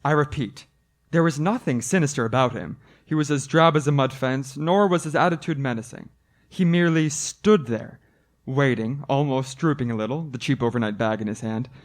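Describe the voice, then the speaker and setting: An actor playing an anxious motorist who narrates a radio drama earnestly and stammers when flustered. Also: male voice, medium pitch, normal speed, lightly acted. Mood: reflective, uneasy. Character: anxious male motorist haunted by a hitchhiker, narrating.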